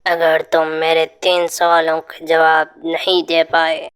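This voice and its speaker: A man's voice: fairly high pitch, monotone, slow pace, a bit bright, clear, resonant tone. A man playing the stern ghost of a bandit guarding treasure in a cartoon fairy tale, speaking solemnly and with menace. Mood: stern, threatening.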